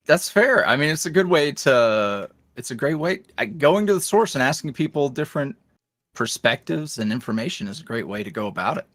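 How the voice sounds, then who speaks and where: Male podcast guest, wry and rambling, lively swoops in pitch, dry close sound. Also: fairly quick, no room sound. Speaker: podcast guest, ASMR roleplay creator. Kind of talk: casual interview chat.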